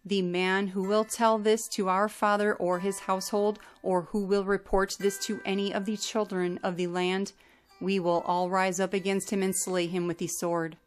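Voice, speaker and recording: A band of guilty grown brothers speaks in a guarded, grim way , read by a woman storyteller. Her voice stays mid-pitched, with soft music faintly behind. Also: level tone, moderate pace, close to an everyday voice.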